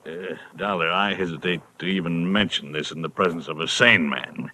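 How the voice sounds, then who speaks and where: An actor playing a stuffy, agitated middle-aged insurance executive with a proper, emphatic manner in vintage radio style. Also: male voice, sits low, moderate pace, pushed and bright. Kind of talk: 1940s radio acting. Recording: noisy vintage radio recording.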